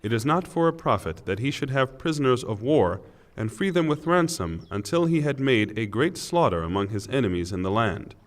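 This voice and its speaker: A man reading a scripture translation from a script in a measured, formal and solemn way. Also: medium pitch, expressive. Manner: measured, formal delivery in short phrases. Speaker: reader of English scripture translation.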